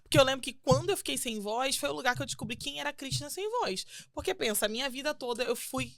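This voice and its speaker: A young adult woman with a Brazilian Portuguese accent, a singer guesting on a podcast, telling her faith story in an earnest, animated way and acting out quotes. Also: high voice, fast, creaky, no echo. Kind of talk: casual testimony chat.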